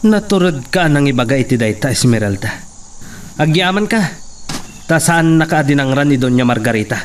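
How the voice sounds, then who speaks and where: A man's voice: mid-range voice, lively intonation, moderate pace, a little hiss or hum, lightly acted. An actor playing an earnest, concerned working man in a radio drama.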